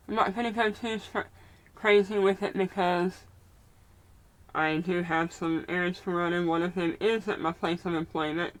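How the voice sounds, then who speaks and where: A woman from Mississippi with a strong Southern accent and a speech impediment, chatting casually while she does her makeup and rambling as she searches for words. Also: lowish voice. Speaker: vlogger. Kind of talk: casual get-ready-with-me chat.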